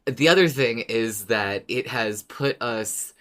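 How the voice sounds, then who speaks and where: A woman with a notably deep voice co-hosts a fan podcast. Her talk is loose and conversational, with the odd swear word. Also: takes it slowly, fairly bright tone. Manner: informal, swears casually. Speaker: podcast co-host. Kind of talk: casual fan discussion chat.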